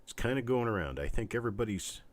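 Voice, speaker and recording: A man hosting a casual live online auction stream, chatting in a rambling, halting, folksy way with a scratchy, stuffed-up voice weakened by illness. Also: on the low side, lively intonation. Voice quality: congested, stuffed-up nose. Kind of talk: casual livestream chat with viewers.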